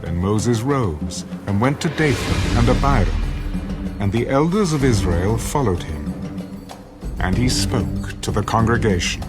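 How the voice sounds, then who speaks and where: A man's voice: lowish voice, lively intonation, measured pace. A man narrating a dramatized scripture story in a scripted, storytelling style. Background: music.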